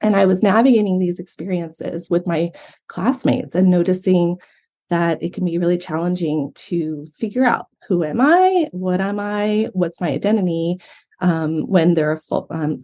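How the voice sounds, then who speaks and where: Low, mellow-voiced American woman. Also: moderate pace. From Yemen, raised abroad. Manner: thoughtful, steady, filler-heavy. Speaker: guest, psychology professor. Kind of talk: interview answers. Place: remote from Montana.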